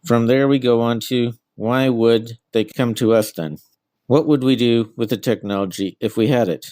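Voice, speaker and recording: A man's voice: mid-range voice, moderate pace, a little mellow, no room sound. A middle-aged man being interviewed as an author, giving answers about their own work.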